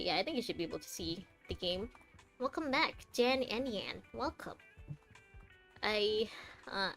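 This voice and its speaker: A woman's voice: mid-range voice, fairly bright tone. A young woman streaming a game, chatting casually and animatedly with her viewers, laughing often and greeting them by name. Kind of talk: casual live-stream chat.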